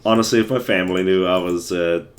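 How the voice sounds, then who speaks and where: A hoarse young man who is losing his voice, rambling casually with many fillers while he streams a game. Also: sits low, steady tone, fast talker. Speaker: livestreamer playing a game. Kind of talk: casual gameplay commentary.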